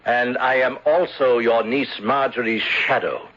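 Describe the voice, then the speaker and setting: A man's voice: medium pitch, fairly even intonation, fairly bright tone, slight background noise, close to an everyday voice. An actor playing a bitter, vengeful bereaved man who theatrically denounces a murderer in an old-time radio drama. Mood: scornful, triumphant. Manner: theatrical, deliberate accusatory speeches. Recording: dull lo-fi vintage radio recording.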